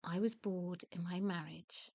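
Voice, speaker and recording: A woman's voice: on the low side, steady tone, a little slow, vocal fry, darkish tone, no echo. A woman with a British accent playing a weary, resentful, blunt and sardonic widow in a radio play. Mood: dry, guarded.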